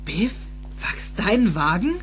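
Lively, bewildered teenage boy. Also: high-pitched, slow pace, faint music behind, full character voice. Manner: stammering, incredulous, exclamatory. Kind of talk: German film dub acting.

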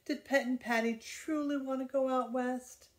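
A woman's voice: high voice, steady tone, moderate pace, natural voice. Little pioneer girl, small shaky voice, as a woman reads her aloud. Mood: pleading. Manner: small, trembling voice.

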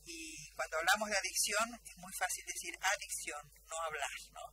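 A woman's voice: mid-range voice, sing-song, normal speed, background noise. An Argentine woman explaining things emphatically and didactically as a guest expert in a radio discussion. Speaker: guest doctor. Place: radio studio, indoors. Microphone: studio table microphone.

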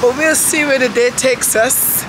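A middle-aged woman with a hoarse voice, chatting straight to her phone camera on a travel vlog. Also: high-pitched, measured pace. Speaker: vlogger. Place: outdoors on a city street and in a park. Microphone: phone held at arm's length. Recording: street and traffic noise behind.

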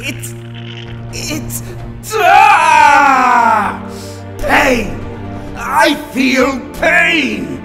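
A woman plays a high-voiced mutant in audio drama, fighting an alien parasite within. The delivery is very slow, pained and halting, ringing in a long reverb. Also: exaggerated voice. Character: anguished mutant hero being possessed by an alien parasite. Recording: long reverb, music behind.

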